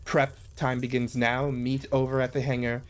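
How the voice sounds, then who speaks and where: A man's voice: mid-range voice, little pitch movement, normal speed, natural voice. A man voicing a stern, imperious female mission commander in a tabletop game, curt and condescending. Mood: curt, sarcastic.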